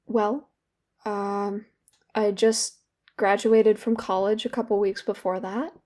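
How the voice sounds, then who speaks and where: A young woman playing a guilt-ridden, wry and bitter therapy patient in an audio drama, speaking candidly and haltingly. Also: mid-range voice, level tone, darkish tone, close to an everyday voice. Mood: wistful, halting. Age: young adult. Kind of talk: naturalistic audio drama dialogue.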